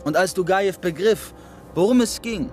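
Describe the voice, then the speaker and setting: A man with a somewhat high voice speaks over music. He is a narrator in a radio play, reading scripted prose. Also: normal speed, a little mellow. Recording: music under the voice.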